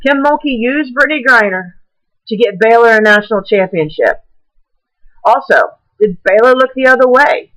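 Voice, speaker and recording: A woman reading a prepared essay aloud in a deliberate, measured way, now and then stumbling over a word. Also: fairly high pitch, fairly bright tone, poor audio.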